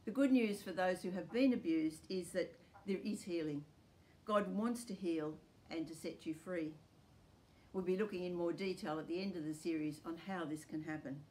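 An older woman with an Australian accent gives a calm, earnest home church talk outdoors in a rural backyard. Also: fairly low pitch, normal speed, rough recording. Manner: calm, earnest teaching delivery.

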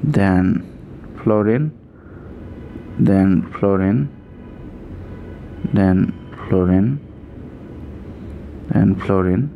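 A man's voice: sits low, drawn-out words, vocal fry, pauses often, phone-quality sound, a little hiss or hum. A man giving an informal step-by-step chemistry tutorial, repeating himself and often checking in with "right?".

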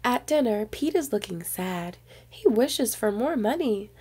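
High, mellow, slightly husky woman reading storytime. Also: animated, unhurried. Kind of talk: picture book read-aloud for young children.

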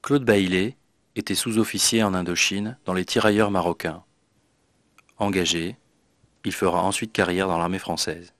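A man's voice: deep voice, steady tone, moderate pace, fairly bright tone, dry recording. A man reading scripted documentary narration in a voice-over. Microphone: voice-over studio mic.

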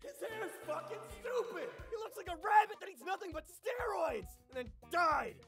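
Cocky angry teen boy bully, raspy creak, animated scornful ranting, comedic anime dub. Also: very high voice, normal speed, over music. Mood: furious. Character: arrogant, hot-tempered, foul-mouthed teenage boy bully.

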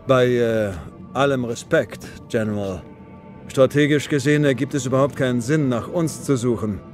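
An actor playing a blunt, pragmatic military clone soldier in an audio drama. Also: male voice, mid-range voice, normal speed, a little mellow, soft background music, natural voice. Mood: terse, grim.

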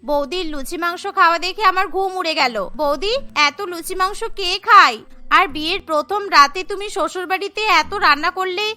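A woman as very high, girlish cartoon sister-in-law. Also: fairly quick, sharp tone, full character voice. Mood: shocked, scolding. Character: sleepy, cheeky young sister-in-law, girlish and complaining. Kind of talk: cartoon voice acting.

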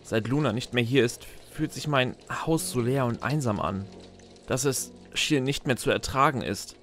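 A man's voice: medium pitch, normal speed, a bit bright, lightly acted. A man playing a sardonic, lonely narrator in a scripted first-person audio drama, with a dry manner. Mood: sad, wistful. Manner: dry, sarcastic. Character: frustrated, sardonic male narrator, lonely and sentimental underneath. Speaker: narrator and lead character. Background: music.

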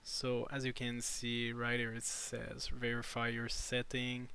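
A man narrating an improvised step-by-step screen tutorial in halting, short instructional phrases. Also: mid-range voice, monotone, gravelly, pushed and bright, clean tone. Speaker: tutorial presenter.

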